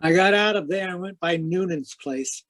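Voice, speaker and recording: A hardboiled private eye from an old radio mystery, acted by a man, pitched a little high for the type. He talks in terse, cynical slang and wisecracks like a man who trusts nobody. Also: moderate pace, a bit bright. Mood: world-weary narrating. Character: tough, wisecracking hardboiled private investigator narrating his case. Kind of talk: 1940s radio noir acting.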